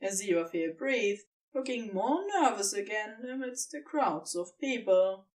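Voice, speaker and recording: A woman narrating fiction from a script in an expressive storytelling style. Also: medium pitch, unhurried, recorded from a distance.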